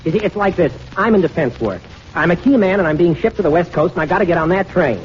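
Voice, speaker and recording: A man's voice: sits high, lively intonation, fast talker. An actor playing a harried, pushy working-class father with slangy, stammering talk in a 1940s radio comedy. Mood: urgent, pleading. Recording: muffled old radio, faint noise.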